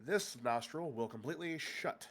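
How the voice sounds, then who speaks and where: A man's voice: fairly high pitch, moderate pace, a bit bright. A man with a stuffy, congested nose, recapping the last session as game master in a loose, joking way with many fillers. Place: room with friends at a table.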